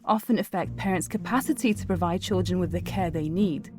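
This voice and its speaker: A woman's voice: on the low side, fairly quick, music in the background. A middle-aged woman, a psychologist, speaking reflectively as she is interviewed. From Syria.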